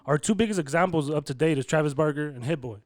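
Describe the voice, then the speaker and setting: A man's voice: a little high, quick pace, no echo, clean studio sound. A man, hosting a casual podcast interview in a slangy, hyped way with plenty of swearing. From Inland Empire, California, United States. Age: 20s. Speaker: podcast host.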